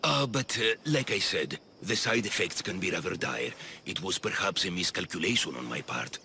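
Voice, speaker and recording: An actor playing an eccentric, obsessive doctor in a video game, fussy and jittery, doting and often repeating himself. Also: male voice, sits low, animated, moderate pace, vocal fry, pushed and bright, a little hiss or hum, full character voice. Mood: defensive, regretful. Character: eccentric obsessive male doctor doting on his human pets. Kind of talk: video game character acting.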